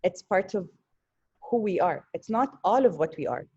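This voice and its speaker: A woman on an online panel, speaking in an earnest, thoughtful way with frequent pauses and repeated words. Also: on the low side, lively intonation, dark tone, slightly rough, highs cut off. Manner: thoughtful, earnest, with hesitations and repetitions. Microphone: video call.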